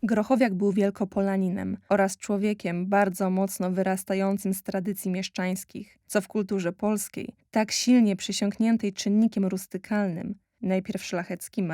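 A woman reading scripted documentary narration for an audio play in a measured, literary way. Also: medium pitch, level tone, warm tone, no room sound, clean studio sound.

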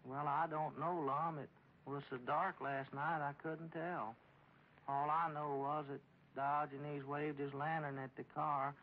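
A man's voice: fairly high pitch, little pitch movement, measured pace, slight background noise, lightly acted. An actor playing a meek, simple, dutiful small-town man with a rural Southern accent, reporting earnestly and hesitantly in a 1940s radio comedy. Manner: deferential, literal, hesitant. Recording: muffled old radio recording.

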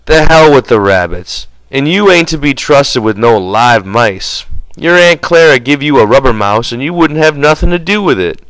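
Audiobook role: short-tempered male farm worker played by a man, commanding, brusque, clear delivery. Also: mid-range voice, lively intonation, moderate pace, a bit bright, no room sound, pitched above the performer's own voice, lightly acted, low-quality recording. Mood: scolding.

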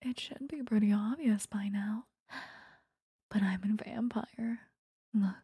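A woman voicing an obsessive, possessive vampire stalker in an intimate, breathy ASMR roleplay whisper, close to the microphone. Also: medium pitch, warm tone, no room sound, heavily acted. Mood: teasing, possessive. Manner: slow, intimate, lingering delivery.

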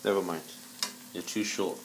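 A man's voice: deep voice, steady tone, moderate pace, rough recording. A man, an uncle, chatting playfully and bossily while cooking in a home kitchen and directing whoever holds the camera. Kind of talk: casual home cooking chat. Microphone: tablet camera held nearby. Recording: loud kitchen noise, handheld tablet. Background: clatter of pans and frying.

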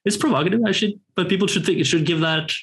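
A man, a guest talking reflectively on a video call from a home room, pausing and restarting often as he thinks aloud. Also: sits high, a bit bright. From Norway. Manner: hesitant, thoughtful, many pauses and restarts. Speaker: guest, professor. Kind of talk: reflective interview talk. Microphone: headphones on a video call.

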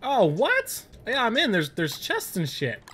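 High-pitched excitable male gamer. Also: unhurried. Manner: excitable, frequent swearing. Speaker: gamer, video host. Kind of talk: casual co-op gameplay chat.

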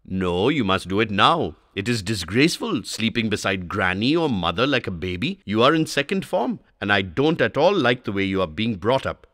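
A man's voice: on the low side, big pitch swings, normal speed, hardly any pauses, fairly bright tone, no room sound. Brief: strict sneering father, firm and lecturing, a man playing him, dry storybook read. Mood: stern.

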